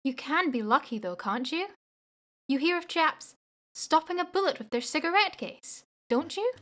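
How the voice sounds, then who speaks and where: A woman's voice: sits high, animated, normal speed, fairly bright tone. A woman playing an inquisitive, cheeky young daughter who is nearly grown, in a radio play. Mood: curious. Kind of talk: amateur radio play acting.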